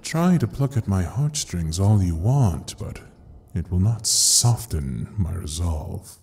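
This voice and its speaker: A man playing a sinister, grandiose ruler of hell in an audio drama, mocking and seductive, with theatrical, drawn-out pauses. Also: sits low, sing-song, darkish tone, exaggerated voice. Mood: cold, taunting. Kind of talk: dramatic audio roleplay acting.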